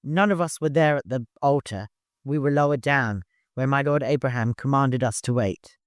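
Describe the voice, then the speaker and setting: A man's voice: mid-range voice, no room sound, clean studio sound, lightly acted. A man voicing a reserved, plain-spoken caravan guide in an audiobook, earnest and troubled. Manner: blunt, halting, earnest.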